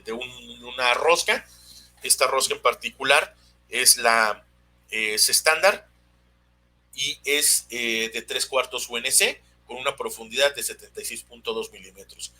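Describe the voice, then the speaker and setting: A man's voice: medium pitch, bright, forceful tone. A man with a Mexican accent explaining technical material as a webinar instructor, unscripted, hesitant and often searching for words. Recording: noisy webinar audio with hum.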